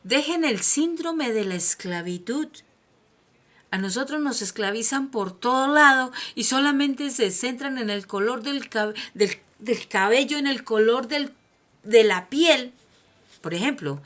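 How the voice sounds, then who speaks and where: A woman from Colombia with a Colombian accent, giving a personal motivational monologue as host, emphatic and preaching, swinging from soft asides to loud declarations. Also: fairly high pitch.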